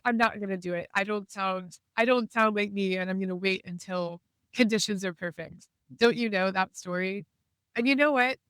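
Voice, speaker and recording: A woman's voice: medium pitch, fairly bright tone, clear, resonant tone, dry recording. A woman with a slightly congested voice hosting a podcast, explaining things earnestly and encouragingly as she talks while driving in a car. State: congested from a cold. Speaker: podcast host. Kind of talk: casual podcast monologue.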